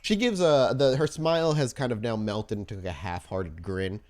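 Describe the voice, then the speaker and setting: A man narrating an improvised tabletop game as game master, descriptive and halting as he goes. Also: on the low side, darkish tone.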